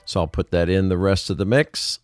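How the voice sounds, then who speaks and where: A man's voice: sits low, a little fast. A man narrating a casual music production tutorial, explaining things informally step by step.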